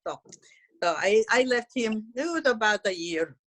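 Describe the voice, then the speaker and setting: A woman's voice: mid-range voice, expressive, moderate pace, fairly bright tone. A woman voicing a brash, gossipy middle-aged housekeeper, talking bluntly in broken English. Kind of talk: character stand-up comedy.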